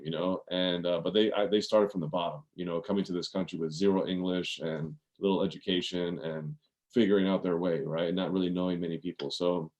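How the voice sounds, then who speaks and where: Earnest thirties male guest, deep-pitched, rambling storyteller. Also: darkish tone, lo-fi sound. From Maryland, USA. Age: 30s. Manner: earnest, many fillers and restarts. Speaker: podcast guest. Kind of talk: casual interview storytelling.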